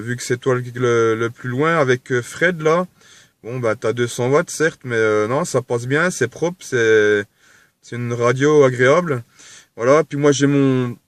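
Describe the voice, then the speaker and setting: Clear-voiced Frenchman chatting on CB radio. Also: medium pitch, dry recording. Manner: halting, repetitive, ends phrases with tags. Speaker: CB radio operator. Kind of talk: casual radio chat with signal reports.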